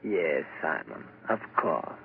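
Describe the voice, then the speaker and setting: An actor playing a composed, authoritative doctor in a 1940s radio drama, measured and deliberate. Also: male voice, a bass voice, big pitch swings, creaky, heavily acted. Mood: grave, explanatory. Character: composed, authoritative male doctor of mental patients. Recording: dull, noisy old radio recording.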